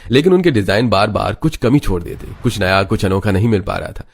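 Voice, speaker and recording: Male narrator for animated family melodrama, scripted storytelling, lowish pitch. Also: fast, vocal fry.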